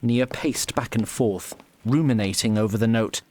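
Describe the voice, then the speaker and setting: A man narrating a scripted fantasy story in a measured, literary manner. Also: fairly low pitch, a little mellow, slight background noise.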